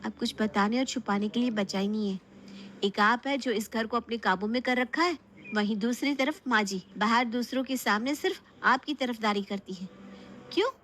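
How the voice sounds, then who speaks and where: A woman's voice: fairly high pitch, moderate pace, fairly bright tone, pitched above the performer's own voice, close to an everyday voice. A woman voicing a resentful young sister-in-law in a family story, indignant and reproachful. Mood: resentful, accusing. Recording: clear background noise.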